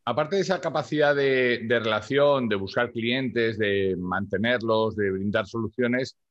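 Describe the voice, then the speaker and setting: A man hosting an interview, asking probing questions that he builds up out loud. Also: medium pitch, unhurried, no room sound. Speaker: interview host. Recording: dry, dull lo-fi sound.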